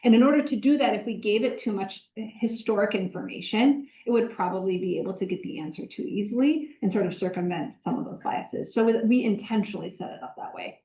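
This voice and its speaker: A woman professor answering audience questions in a lecture hall, explaining with energy, often pausing on "um" and correcting herself mid-sentence. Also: mid-range voice, dark tone. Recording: roomy lecture hall sound.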